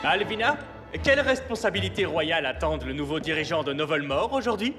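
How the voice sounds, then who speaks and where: Guy doing a pompous dopey prince, high voice. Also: expressive, a little fast, close to an everyday voice. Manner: pompous, self-important. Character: vain clueless young male prince. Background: music score.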